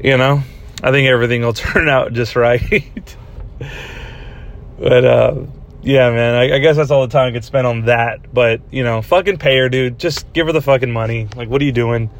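A man's voice: mid-range voice, fairly even intonation, moderate pace. A man hosting a solo podcast, ranting casually and profanely, rambling while he acts out his own inner dialogue. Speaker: podcast host.

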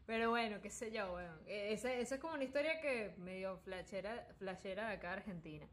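A young Venezuelan woman chatting casually as a podcast host, telling anecdotes in an animated, slangy, joking way. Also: medium pitch, brisk.

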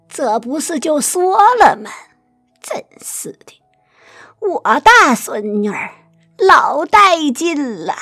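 Casting: doting old Northeastern Chinese grandma, high gravelly voice, folksy and proud, radio drama. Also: female voice, fairly bright tone, no echo, studio quality, heavily acted.

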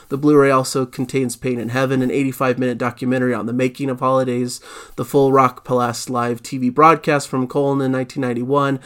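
Guy doing a casual music news recap, mid pitch, lots of ums and restarts. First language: not Italian. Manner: easygoing, many fillers and restarts.

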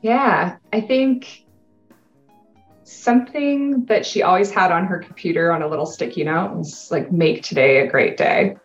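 A woman's voice: lowish voice, a little slow, echoey room, dull audio, faint music behind. A Canadian woman in her thirties, a guest in an interview, talking casually and laughing often. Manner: upbeat, laughs often, chatty.

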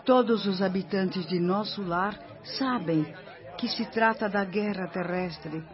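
A man's voice: sits high, slow, a crowd in the background. An actor playing a solemn male spirit mentor in a radio drama, declamatory and instructive. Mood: grave. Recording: muffled radio play with background music.

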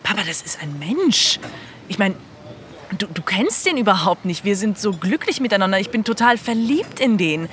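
A lovestruck young daughter, played by a woman storyteller. She pleads earnestly in casual words at medium pitch. Light outdoor noise is in the background. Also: very animated, normal speed, a bit bright. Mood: earnest pleading. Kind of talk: colloquial storytelling.

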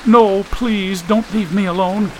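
A man's voice: sits high, animated, normal speed, gravelly, slight background noise, pitched above the performer's own voice. A man voicing a frightened, sick young woman in an audiobook, plaintive and polite. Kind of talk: audiobook character voice.

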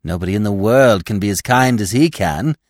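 A man voicing a naive, boastful young male deer in a storybook, with a calm, self-satisfied manner. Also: fairly low pitch, a little fast, no echo, studio quality, voice pushed up, lightly acted. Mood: proud, boastful. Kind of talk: storybook character voice.

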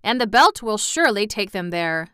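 A woman's voice: medium pitch, sing-song, moderate pace, a bit bright, clean tone, no echo, clean studio sound. A woman voicing a crafty male gnome general advising his king, with an eager, sly manner.

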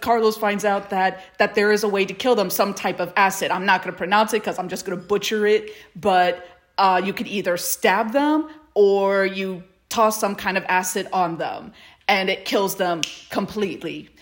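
A woman co-hosting a podcast in casual chat, telling things in a halting, rambling, playful way. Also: medium pitch. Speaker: podcast co-host. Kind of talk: casual chat about fan fiction recordings.

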